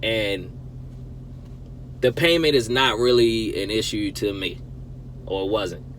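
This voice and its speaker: A man's voice: lowish voice, slow pace. A man casually telling a story as a car vlogger, conversational and emphatic, breaking his talk into short phrases. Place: inside a car while driving. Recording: loud road noise inside a car. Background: car and road noise.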